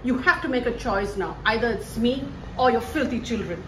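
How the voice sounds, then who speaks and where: A woman's voice: on the high side, animated, moderate pace, natural voice. A woman playing a greedy, scheming middle-aged stepmother in an amateur comedy, theatrical and complaining. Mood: exasperated. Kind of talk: amateur comedy stage-style acting. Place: indoor home living room. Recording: echoey room with faint noise.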